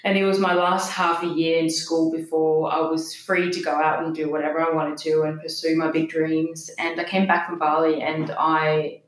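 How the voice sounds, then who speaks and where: A woman in her thirties speaks with a light Danish accent and a low voice. She talks in an open, reflective way, full of ums and restarts. The sound is roomy and echoey. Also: little pitch movement, moderate pace, few pauses, slight background noise. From Denmark. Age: 30s. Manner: open, reflective, many fillers. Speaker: podcast guest. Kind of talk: personal interview talk.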